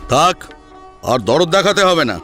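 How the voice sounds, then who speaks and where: A man's voice: fairly high pitch, big pitch swings, measured pace, bright, forceful tone, faint music behind, pitched above the performer's own voice, exaggerated voice. A man playing an angry, bullying elder brother in an audio family drama, scolding and accusing in curt bursts. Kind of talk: Bengali audio story drama.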